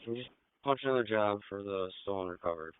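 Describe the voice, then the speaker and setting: A man's voice: lowish voice, quick pace, smooth, no room sound. A man working as an emergency responder, giving clipped updates over a two-way radio. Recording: tinny narrowband scanner radio.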